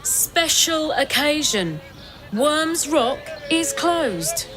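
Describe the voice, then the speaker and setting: Blunt, slow-talking gate guard. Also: female voice, high-pitched, very animated, over music, heavily acted. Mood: dismissive. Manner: blunt, dismissive. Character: gruff, stubborn, mocking female city gate guard. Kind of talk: video game character acting.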